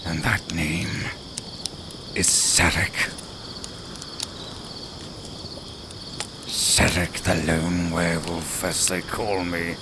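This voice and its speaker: Guy doing a sly lone werewolf for audio roleplay, low voice, super slow and formal, crickets and night noise behind. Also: little pitch movement. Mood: guarded, courteous. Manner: deliberate, menacing, formal, with drawn-out pauses. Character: cunning, secretive lone male werewolf, coldly proud.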